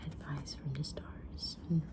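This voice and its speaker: A woman playing a kind, mysterious witch fortune teller in an ASMR roleplay, whispering gently and deliberately with pauses. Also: low-pitched, little pitch movement, creaky. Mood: calm, mysterious. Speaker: ASMR roleplayer reading tarot cards.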